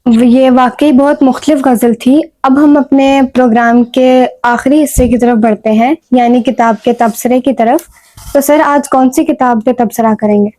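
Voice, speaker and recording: A woman's voice: sits high, fairly even intonation, mellow, poor audio. A woman presents a literary talk show. She speaks politely and formally, in the manner of a programme host. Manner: courteous, formal.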